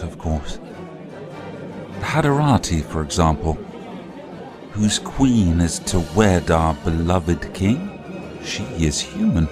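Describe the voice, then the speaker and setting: An actor playing a long-lived vampire nobleman in an audio drama, composed, suave and knowing. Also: deep voice. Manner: measured, suave, formal. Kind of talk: audio drama acting, measured exposition.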